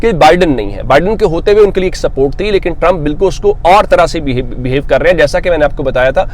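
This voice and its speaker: A man's voice: on the high side, expressive, slight background noise. A man delivering unscripted news commentary as a host, explaining step by step and posing questions that he then answers himself. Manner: explanatory, reads English quotes slowly then paraphrases them; poses rhetorical questions and answers them.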